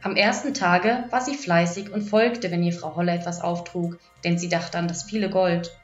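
A woman's voice: fairly low pitch, steady tone, moderate pace. A woman narrating a scripted fairy tale for children as an audiobook storyteller. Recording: echoey, dull lo-fi, music behind. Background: music.

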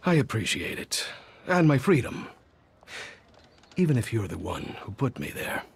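A man playing a stoic, courteous hitman with a husky voice, speaking formally and deadpan in a video game cutscene. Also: mid-range voice, a little slow, heavily acted. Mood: reserved, wary.

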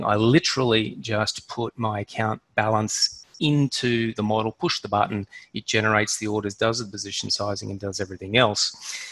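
A middle-aged man with an Australian accent, giving an online presentation in a relaxed, conversational way with a few fillers. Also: mid-range voice, no room sound, poor audio. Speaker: webinar presenter.

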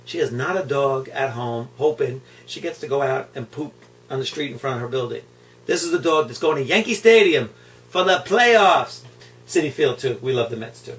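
A man's voice: medium pitch, lively intonation, normal speed, fairly bright tone. A middle-aged man talking casually and reflectively to a camera, emphatic and repeating words for effect. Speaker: guide dog puppy raiser. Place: indoor room at home, seated on floor. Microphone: camera mic a few feet away. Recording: distant camera mic, hum and background noise.

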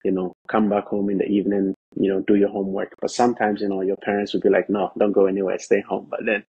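A young man from Ghana, a professional soccer player, speaking as a podcast guest over a video call in a measured way, with lots of "you know" and "um". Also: lowish voice, level tone, breathy edge, highs cut off. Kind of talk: casual interview talk. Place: indoors, bright room at home. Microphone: video call, laptop or phone camera.

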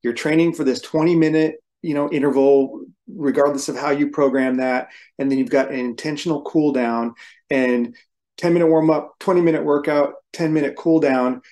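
A man's voice: fairly high pitch, steady tone, moderate pace, warm tone. A man in his forties speaking as a podcast guest on a video call from a room at home, explaining things in a methodical, instructive way. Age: 40s. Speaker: podcast guest, firefighter and coach. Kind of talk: conversational explanation in an interview. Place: small room at home, indoors.

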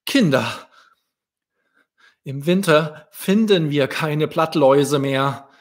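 A man's voice: a little high, slow pace, voice pushed up. A man voicing an anxious, caring mother ladybug who solemnly warns her children in a children's storybook. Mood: worried warning.

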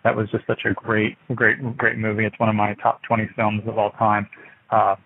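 A man hosting an online radio show, asking an actor long questions in a halting way. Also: fairly low pitch, monotone, breathy edge, no room sound. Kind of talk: interview questions. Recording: tinny phone line.

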